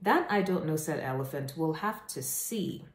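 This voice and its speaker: A woman with a slightly low voice presents stories for children in an echoey room. Her delivery is expressive and deliberate, leaning on key words as a storyteller does when reading aloud to an audience on camera.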